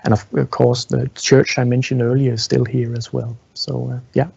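Halting man with a creaky voice. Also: mid-range voice, level tone, darkish tone, highs cut off. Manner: halting, frequently repeats words while explaining. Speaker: museum tour guide. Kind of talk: informal guided tour talk, answering questions. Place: indoor museum exhibition room. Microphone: small clip-on lapel mic.

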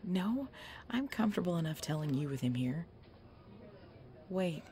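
A woman playing a tough, weary, injured biker girlfriend in an ASMR roleplay audio drama, speaking haltingly and protective of her boyfriend. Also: on the low side, big pitch swings, warm tone. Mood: defensive, reluctant.

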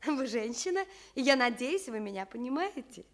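An actress playing a polite but dismissive, sceptical newspaper employee with a measured official manner in a radio comedy play. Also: female voice, on the high side, very animated. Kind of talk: stage comedy acting for radio.

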